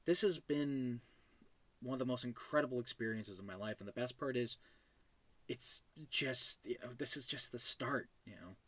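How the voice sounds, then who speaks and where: A man's voice: medium pitch, moderate pace, fairly bright tone, no room sound. A man hosting his own show, talking casually and effusively, emotional and overjoyed, stammering and trailing off as he goes. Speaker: host and creator of an animated web series. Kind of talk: casual unscripted thank-you monologue.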